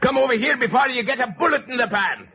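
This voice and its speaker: Exasperated police captain, high strained bark. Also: male voice, expressive, fast, bright, forceful tone, husky, heavily acted. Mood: frantic, furious. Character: exasperated, hot-tempered police captain barking orders. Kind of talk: 1940s radio acting. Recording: muffled old radio recording.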